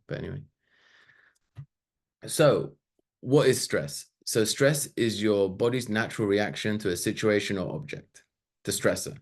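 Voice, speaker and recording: Man in his thirties with a fairly low voice; relaxed, chatty presenter who wanders into asides. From Sweden. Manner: loose and chatty, with fillers and asides. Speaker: webinar presenter. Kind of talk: casual slide-based webinar talk.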